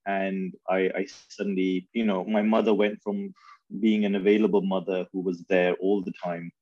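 A man from Pakistan, in his thirties, talking through his memories as an interview guest, thoughtful and halting with many fillers and restarts. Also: sits low, level tone, no room sound, highs cut off. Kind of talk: reflective conversational storytelling.

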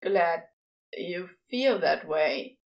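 A woman voicing a laconic male supernatural being in a story, casual and halting, bashful with tenderness underneath. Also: on the low side, animated, muffled recording, voice pushed down, full character voice. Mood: halting, nervous. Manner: casual, halting, clipped phrasing. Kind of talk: podfic character dialogue.

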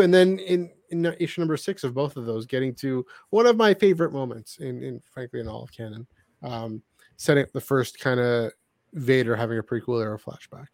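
A man hosting a podcast in casual conversation, talking in a halting, rambling way and often repeating his words. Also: mid-range voice, darkish tone, no room sound. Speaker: podcast host. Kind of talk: casual chat, discussing books and comics.

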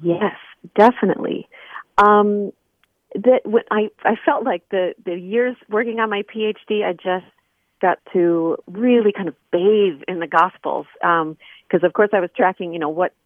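A woman's voice: mid-range voice, moderate pace, no echo. Woman on a tinny phone line. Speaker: interview guest, scholar. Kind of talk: casual conversational interview. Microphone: telephone call.

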